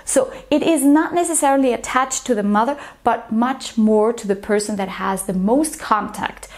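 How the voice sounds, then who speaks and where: A woman explains ideas to camera in a slightly high voice. She talks earnestly and step by step, stumbling into restarts when she tells a story. The sound is close and clean from a lapel mic in a small living room. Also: normal speed, darkish tone. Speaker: teacher and channel host. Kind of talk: educational talk to camera.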